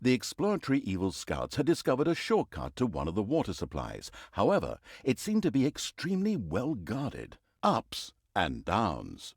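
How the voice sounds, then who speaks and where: A sardonic storyteller narrating a villainous fantasy game. His mid-pitched voice swings dramatically up and down with theatrical, mock-grandiose relish. The sound is dry and close, with no room around it. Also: a little mellow, no echo. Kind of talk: scripted comic storybook narration.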